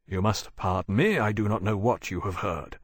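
A man doing a low, urgent officer. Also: moderate pace, slightly rough, no echo. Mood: polite. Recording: dry close mic.

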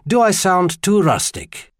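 Gallant tenor gentleman, voiced by a male narrator. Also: sits high, very animated, unhurried, no room sound, clean studio sound, pitched above the performer's own voice. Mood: gallant, amused. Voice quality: pleasant tenor. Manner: gallant, courtly, polite. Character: charming, gallant, well-dressed gentleman visitor, faintly impish. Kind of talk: audiobook character dialogue.

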